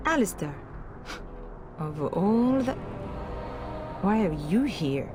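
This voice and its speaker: A frightened noblewoman pleads in broken, hurried phrases. Her mid-range voice wavers with anxiety. A loud game score plays under her. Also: medium pitch, sing-song, over music. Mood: desperate. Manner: anxious, halting, breathless pleading.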